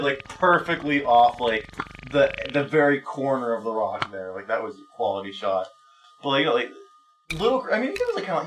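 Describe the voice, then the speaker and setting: A man hosting a gaming video, chatting casually in a rambling, halting, foul-mouthed way while playing. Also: medium pitch, far from the mic, low-quality recording. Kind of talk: rambling casual let's play chat.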